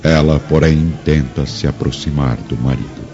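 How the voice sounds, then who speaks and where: A man narrates a radio drama in a deep voice. His delivery is solemn, formal and dramatic, over clear music on a slightly dull recording. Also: fairly even intonation, normal speed. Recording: dull sound with music bed.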